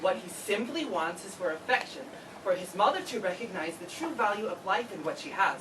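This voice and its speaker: A woman's voice: mid-range voice, animated, brisk, distant mic, natural voice. In an echoey, noisy room, a woman plays a flamboyant, brainy student in a stage reading. The delivery is eager and self-important, with an arrogant edge. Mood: falsely modest. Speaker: actor in a staged play reading. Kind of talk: live theatre play reading. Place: read before a live audience, far camera.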